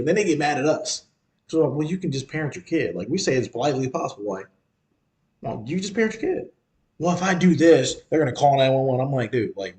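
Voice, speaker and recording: A man's voice: mid-range voice, a little mellow. Man in his thirties, emphatic casual podcaster. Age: 30s. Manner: conversational, emphatic and repetitive, slangy. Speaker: podcast host. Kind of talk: casual monologue.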